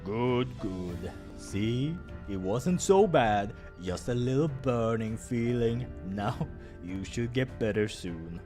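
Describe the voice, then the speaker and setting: A kindly, fatherly bear doctor from a visual novel, acted out by a man. He talks very slowly and soothingly, with a gentle flirtatious streak, over clear background music. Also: mid-range voice, lively intonation. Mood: reassuring. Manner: slow, reassuring, paternal.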